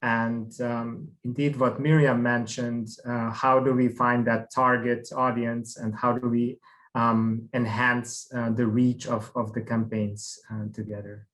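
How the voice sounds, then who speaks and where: Man from Serbia, steady with fillers. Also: mid-range voice, measured pace, poor audio. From Vojvodina, Serbia. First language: Hungarian. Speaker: project coordinator. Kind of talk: video call introduction.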